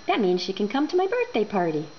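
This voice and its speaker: Woman voicing an earnest young girl, lively pitch. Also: fast. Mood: delighted. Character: young girl devoted to her pet chicken. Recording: dull home recording with noise.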